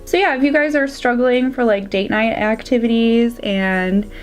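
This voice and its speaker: A young woman with a slightly congested, raspy voice chatting casually through a makeup tutorial. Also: on the high side, normal speed, faint music behind. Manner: chatty, drops to soft whispers at times. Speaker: beauty vlogger.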